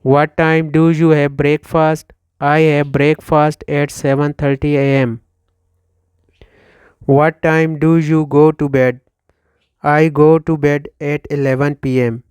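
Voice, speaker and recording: A Pakistani-accented man in his thirties, whose first language is Urdu, leads an English drill at a very slow, careful pace. His smooth, clear voice sits a little high for a man. The sound is dry and close, with no room echo. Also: level tone, darkish tone. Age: 30s. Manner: slow, deliberate, repeats each line for learners. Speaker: English teacher. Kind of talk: slow scripted question-and-answer drill.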